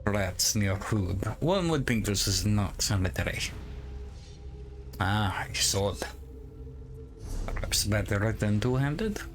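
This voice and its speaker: A man voicing an aloof, proud cat-folk adventurer in formal, measured speech as game roleplay. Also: lowish voice, lively intonation, slight background noise. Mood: aloof, musing. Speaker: gamer playing in character. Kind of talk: in-character roleplay game commentary. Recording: game music behind the voice.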